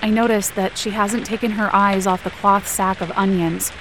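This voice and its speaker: A woman's voice: mid-range voice, flat delivery, moderate pace, noisy background, natural voice. A woman playing an anxious, injured young camp counselor, narrating a first-person audio drama in a tense, dramatic way. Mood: concerned. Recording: rain and ambience behind the voice. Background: rain and outdoor ambience effects.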